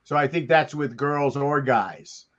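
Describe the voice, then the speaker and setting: An older man chatting casually and reminiscing as a podcast panelist, in a halting, rambling way. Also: mid-range voice. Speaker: podcast panelist, TV industry veteran. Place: home room, on a video call. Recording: dull lo-fi video call audio.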